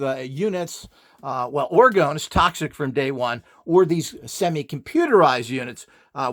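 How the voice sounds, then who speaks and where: A man speaks in a somewhat high voice that swings widely in pitch. He lectures informally and emphatically, explaining his products with firm opinions. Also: fairly bright tone. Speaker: instructor and seller of esoteric devices and courses.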